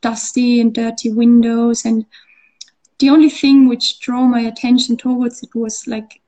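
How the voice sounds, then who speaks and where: A woman's voice: a little high, flat delivery, measured pace, warm tone, rough recording. A woman from Austria, an artist, talking in a casual interview with lots of fillers and restarts. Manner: hesitant, many fillers and restarts. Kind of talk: conversational interview.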